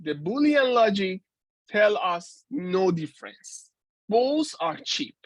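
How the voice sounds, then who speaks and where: A man in his forties delivers a university lecture at an unhurried, careful pace. He often stops mid-phrase and starts again, filling the gaps with hesitations. The sound is dry and close, with no room echo. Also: high voice, big pitch swings. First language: Persian. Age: 40s. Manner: halting, with many restarts and fillers. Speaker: guest lecturer.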